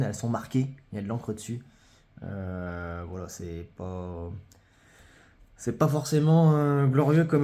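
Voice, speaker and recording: A man's voice: medium pitch, mellow. A man casually showing his card collection, talking hesitantly with many fillers and repeated phrases. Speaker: collector presenting his trading cards. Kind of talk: casual show-and-tell vlog.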